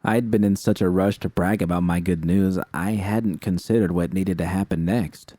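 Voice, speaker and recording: A man's voice: low-pitched, fairly even intonation, normal speed, mellow, no echo, studio quality. A man narrating first-person fiction as a scripted audiobook reading.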